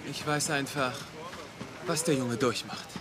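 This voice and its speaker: A man's voice: medium pitch, measured pace, lightly acted. An actor playing an earnest, wounded young man in a dramatic dialogue scene. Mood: serious. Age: young adult. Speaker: actor in an audio drama or dubbed series.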